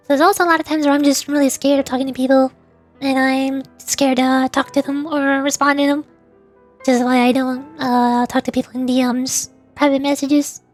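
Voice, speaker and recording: High pitched girl streamer, woman chatting casually, kinda halting n self-deprecating, game music in back. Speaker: livestreamer, VTuber voice actor. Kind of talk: casual livestream chat while playing a game.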